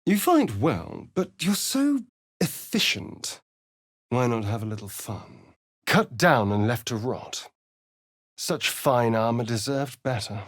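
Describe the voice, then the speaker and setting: An actor voicing a sly, vain, theatrical vampire spawn rogue in a video game, with an arch, flirtatious drawl. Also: male voice, medium pitch, big pitch swings, takes it slowly, dry recording, clean studio sound. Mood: teasing, sly.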